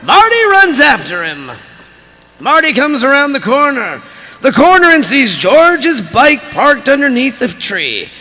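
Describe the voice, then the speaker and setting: A man voicing an excitable cartoon character who reads stage directions melodramatically in a creaky, sharp voice. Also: extremely high pitch, measured pace, full character voice. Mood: suspenseful narration. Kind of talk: dramatic script narration in cartoon voice. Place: large convention hall stage. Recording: echoey convention hall PA, faint noise.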